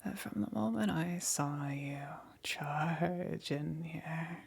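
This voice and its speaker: A woman voicing a possessive, doting demon queen in an ASMR roleplay, coaxing and praising in a breathy whisper. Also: deep voice, exaggerated voice. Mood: doting, teasing. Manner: slow, coaxing, condescending praise.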